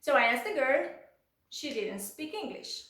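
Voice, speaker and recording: A young woman with a Hungarian accent chats her way through a storytime vlog, talking in English and leaning on filler words like "like" and "you know". Her voice sits a little high, and the room around her rings with a noticeable echo. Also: big pitch swings, a little slow, fairly bright tone. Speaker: vlogger.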